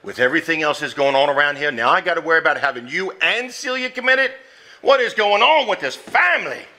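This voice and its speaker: A man's voice: fairly high pitch, lively intonation, fast talker, sharp tone. A man playing a worried, easily exasperated family man in a stage drama, emphatic, with a Southern US accent. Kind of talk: live stage acting. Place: theater stage before audience.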